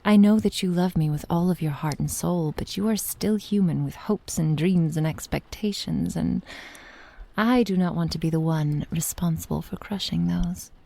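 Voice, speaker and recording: Woman playing ancient witch, ASMR roleplay, dark soft tone, gravelly fry, whispery, formal, deliberate, loving and possessive. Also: lowish voice. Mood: solemn, hesitant. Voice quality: soft, breathy, often dropping to a whisper. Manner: slow, formal archaic phrasing, deliberate pauses.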